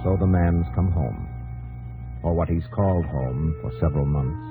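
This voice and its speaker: A man's voice: rumbling low, normal speed. A man narrating a 1950s radio drama in clipped, wry, dramatic phrases. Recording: dull old radio broadcast, music behind.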